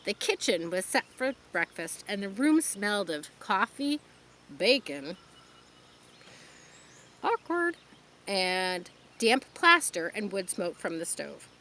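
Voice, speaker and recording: A woman's voice: medium pitch, slow, slight background noise. A woman reading a children's book aloud outdoors, adding her own explanatory asides. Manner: expressive, adds explanatory asides. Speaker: storyteller. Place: outdoors, rural.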